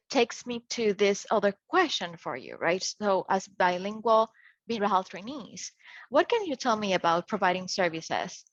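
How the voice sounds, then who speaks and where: A woman moderating a panel discussion over a video call, speaking thoughtfully with frequent pauses. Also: medium pitch, dry recording, lo-fi sound. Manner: thoughtful, hesitant with fillers.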